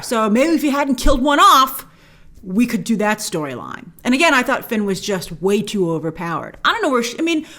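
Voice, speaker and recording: A woman's voice: sits high, a bit bright. A woman hosting a review show, giving casual, opinionated commentary in an emphatic way, leaning hard on key words. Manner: emphatic, gushing in praise, stresses key words. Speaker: host of a film review show.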